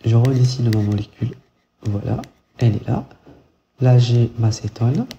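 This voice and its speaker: Dark-voiced man teaching organic chemistry. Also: mid-range voice, steady tone, normal speed. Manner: thinks aloud with many fillers and restarts, checks in with "ok?". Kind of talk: whiteboard lecture. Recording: muffled lo-fi sound with faint hiss.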